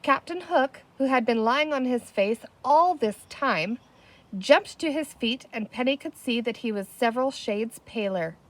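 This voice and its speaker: A woman with a fairly high voice reads a children's story aloud. She narrates expressively, as a storyteller does, out in the open air. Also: lively intonation, measured pace, low-quality recording. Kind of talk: expressive read-aloud narration with character dialogue. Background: birdsong, wind chimes, a barking dog.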